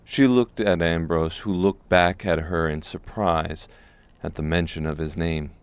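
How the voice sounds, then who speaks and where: A man reading scripted dark fantasy narration as an audiobook narrator. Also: low voice, fairly even intonation, measured pace, smooth.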